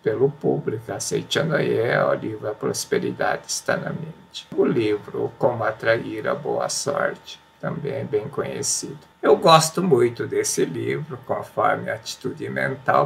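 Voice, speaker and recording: A man with a gravelly, creaky voice talks about spiritual books in an earnest, reflective manner, slowing down on the phrases that matter to him. Soft room ambience hums faintly behind him. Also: on the low side, very animated, slight background noise. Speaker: religious book presenter. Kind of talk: casual spoken book commentary and reading aloud.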